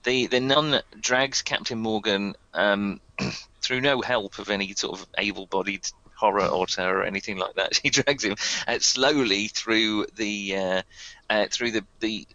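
A man's voice: fairly low pitch, measured pace, bright, forceful tone, lo-fi sound. A man narrating as game master for a tabletop game, rambling with many fillers and chuckles.

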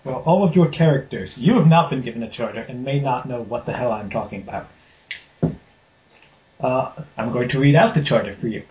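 A man's voice: mid-range voice, brisk, darkish tone, reverberant, dull audio. A man from Rhode Island running a tabletop game as game master, joking offhand and reading a formal charter aloud.

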